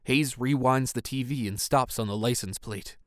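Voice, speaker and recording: A man's voice: medium pitch, normal speed, dry recording, clean studio sound, lightly acted. A man narrating an audio drama, reading scripted scene action in the present tense.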